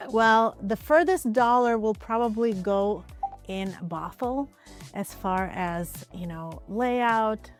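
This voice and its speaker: A woman from Ukraine, a real estate agent, answering interview questions in a relaxed, conversational way, often hedging with "I would probably say.". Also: on the high side, slow, faint music behind. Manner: relaxed, conversational, with frequent hedges.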